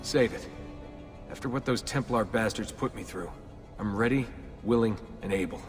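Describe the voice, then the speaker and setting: An actor playing a sardonic, reluctant young hero in a video game, talking casually. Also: male voice, on the low side, moderate pace, close to an everyday voice. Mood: wry. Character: wry, reluctant young male hero on the run. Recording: long reverb, music behind.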